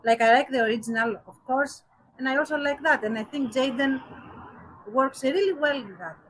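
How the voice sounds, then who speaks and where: A woman's voice: sits high, normal speed, fairly bright tone, poor audio. A woman co-hosting a podcast, casually giving her opinions and repeating words as she forms her thoughts. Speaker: podcast co-host.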